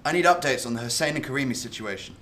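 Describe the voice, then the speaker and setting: A man playing a stern, demanding security service chief in a radio thriller, brisk and commanding. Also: medium pitch, bright, forceful tone, a little hiss or hum, natural voice. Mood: urgent command. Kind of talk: radio thriller drama acting.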